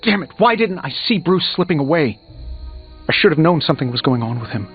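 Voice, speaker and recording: An actor playing a proud, guilt-ridden genius superhero in a video game, sarcastic, emphatic and hard on himself. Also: male voice, on the high side, very animated, fairly quick. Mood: anguished. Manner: sarcastic, self-reproaching, emphatic. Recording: muffled game audio under music.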